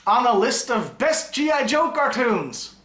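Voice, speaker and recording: A man's voice: extremely high pitch, big pitch swings, unhurried, sharp tone. A man narrating a scripted countdown review as the host.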